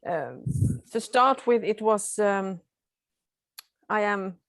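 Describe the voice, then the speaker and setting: A woman's voice: medium pitch, lively intonation, slow pace, no echo, clean studio sound. A woman with a Swedish accent, a nurse and non-native English speaker, answering interview questions hesitantly while searching for words. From Sweden. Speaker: nurse guest.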